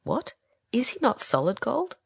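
A small male storybook swallow, acted by a woman, blunt, vain and impatient. The delivery swings widely in pitch and has a husky edge. He talks briskly and gives opinions on everything, in a dry, close recording. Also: sing-song, no room sound, heavily acted. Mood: curious. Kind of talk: storybook character reading.

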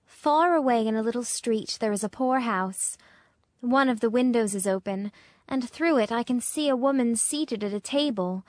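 A woman voicing a gentle, sorrowful statue of a dead young prince in a storybook, solemn and musical. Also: a little high, no echo, studio quality, natural voice. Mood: tender, solemn. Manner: solemn, measured, wistful. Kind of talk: storybook character reading.

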